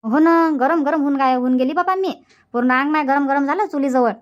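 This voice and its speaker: A woman's voice: sits high, brisk, dull audio, natural voice. A woman voicing a whiny young village sister in a cartoon, grumbling to herself with a Varhadi Marathi accent. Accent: Varhadi Marathi from Vidarbha. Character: whining young village sister sweating over a cooking fire. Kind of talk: dubbed cartoon comedy monologue.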